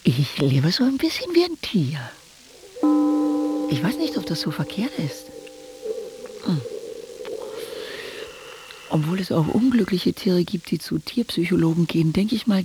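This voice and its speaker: An actress playing a detached, aimless woman in her thirties, musing with deadpan wryness in a radio drama. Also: low-pitched, measured pace, pauses often. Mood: detached, wry. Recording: clear ambient background noise.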